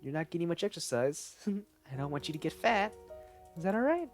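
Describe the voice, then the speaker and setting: A man's voice: on the high side, very animated, fairly quick, soft background music, pitched above the performer's own voice. A man voicing a controlling young woman who talks down to her partner in a syrupy, coaxing way. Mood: sugary.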